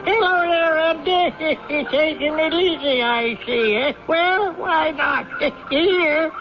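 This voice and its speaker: Radio play casting: kindly old keeper, high cackly pitch, slow folksy delivery, lo-fi sound. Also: male voice. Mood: jolly, doting. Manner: folksy, chuckling, ungrammatical speech. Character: chuckling, kindly old male zookeeper. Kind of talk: radio drama acting. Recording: dull, lo-fi old radio broadcast.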